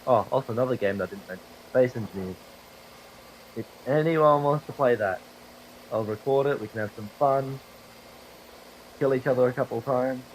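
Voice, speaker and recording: A young man with a cold and a hoarse, fading voice, rambling profanely and mocking himself while commentating a driving game. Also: medium pitch, normal speed, pauses often, slight background noise, rough recording. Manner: profane, rambling, self-deprecating. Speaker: gaming video creator. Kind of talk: casual gameplay commentary. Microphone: desktop microphone.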